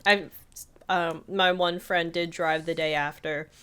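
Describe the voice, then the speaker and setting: A woman's voice: fairly low pitch, fairly even intonation, unhurried, a bit bright, smooth. A woman co-hosting a casual podcast, chatty and given to telling things as quoted back-and-forth. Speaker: podcast co-host.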